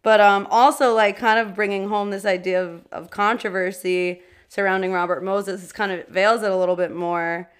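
Woman podcast cohost, clear smooth voice, casual storytelling chat w little acted out quotes. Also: mid-range voice, steady tone, moderate pace. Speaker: podcast co-host. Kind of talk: casual conversational history chat.

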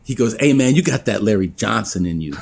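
A man's voice: fairly low pitch, brisk, creaky. Profane, laughing storyteller man. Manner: profane, animated storyteller who laughs often. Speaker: podcast guest. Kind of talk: casual storytelling.

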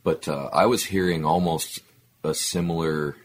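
A man with a baritone voice talking casually in an interview, halting with fillers and restarts. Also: fairly even intonation. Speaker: guest musician.

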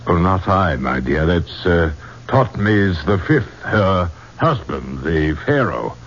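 A man's voice: deep voice, very animated, slow pace, full character voice. An actor playing an elderly, courtly male archaeologist in a 1970s radio drama, speaking theatrically and rhapsodically. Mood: enraptured. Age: older. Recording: muffled, hissy old radio broadcast.

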